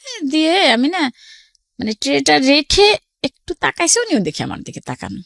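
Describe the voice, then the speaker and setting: A woman's voice: high-pitched, wildly swooping pitch, normal speed, creaky, fairly bright tone, dry recording, clean studio sound. A middle-aged woman with a Bangladeshi accent tells her life story casually and conversationally, often quoting family dialogue.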